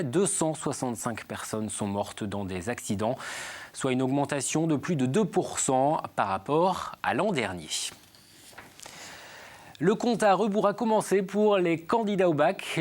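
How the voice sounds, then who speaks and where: A man anchoring a regional television news bulletin in a steady, measured newsreading voice. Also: mid-range voice.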